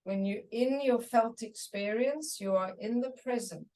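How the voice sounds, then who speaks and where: Slow-paced woman guiding a meditation. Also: a little high, mellow, recorded from a distance, low-quality recording. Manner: soothing, unhurried instruction with short phrases and pauses. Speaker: meditation teacher. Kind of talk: guided meditation.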